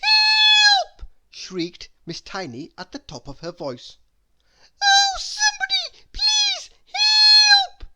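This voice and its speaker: Casting: minuscule timid girl for a children's storybook, piercingly high squeak, fragile and nervous. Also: wildly swooping pitch, takes it slowly, very noisy, full character voice. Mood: terrified, pleading. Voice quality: squeaky, thin. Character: tiny, timid, frightened little storybook girl.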